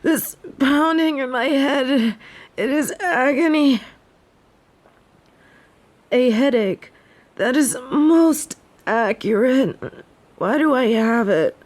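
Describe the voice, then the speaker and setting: A woman's voice: sits high, slow, long pauses. A woman playing a snobby fallen angel who is sick with a head cold, speaking in formal, archaic phrases for an audio drama. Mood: pained, demanding.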